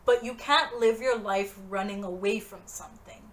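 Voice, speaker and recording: A woman's voice: mid-range voice, normal speed, slight background noise. Earnest young woman vlogger. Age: 20s. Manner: earnest, encouraging, direct. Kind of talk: motivational talk to camera.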